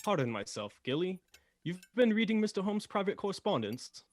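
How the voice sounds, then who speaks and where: Male actor as gentleman sidekick, earnest, fussy, proper, lively intonation, slight background noise, radio drama. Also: high voice, brisk. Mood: concerned, fussy. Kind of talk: radio play acting.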